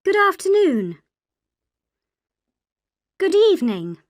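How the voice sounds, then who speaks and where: A woman with a high, lively voice reads short greetings one by one, very slowly and emphatically. The recording is dry and close. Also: dry recording. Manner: emphatic, carefully spaced words. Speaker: phrase reader for a language lesson.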